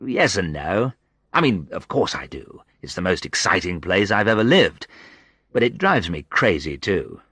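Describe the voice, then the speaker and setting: A man's voice: sits low, very animated, normal speed, fairly bright tone, husky, no echo, full character voice. A man voicing an agreeable, easygoing British expatriate teacher in an audiobook story, speaking reflectively. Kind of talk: audiobook character dialogue.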